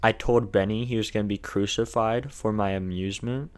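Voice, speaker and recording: Low-voiced man with a lisp narrating gameplay. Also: steady tone, brisk. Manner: crude, profane, jokey. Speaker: gaming video narrator. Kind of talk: casual scripted challenge-run narration.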